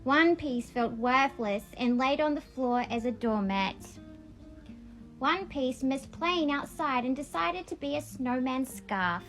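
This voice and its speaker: High-pitched woman reading a storybook aloud. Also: takes it slowly, fairly bright tone, faint music behind. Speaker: vlogger reading a picture book aloud. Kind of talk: read-along storytelling with casual asides.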